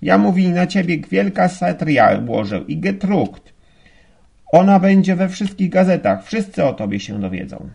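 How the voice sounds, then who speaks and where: A man's voice: sits high, sing-song, normal speed, creaky, darkish tone, husky, pitched above the performer's own voice, heavily acted. A man voicing a stout, gushing, indignant German madam who chatters in broken grammar with a German accent. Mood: indignant, pleading.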